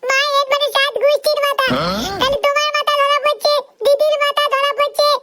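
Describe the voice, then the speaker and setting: A very high, childish cartoon voice of a little schoolboy. He is stubborn and cheeky, argues back and pleads in a whine. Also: monotone, a little fast, pushed and bright. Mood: protesting. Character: cheeky, whiny little boy pupil, muddled and stubborn. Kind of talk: Bengali comedy cartoon dialogue.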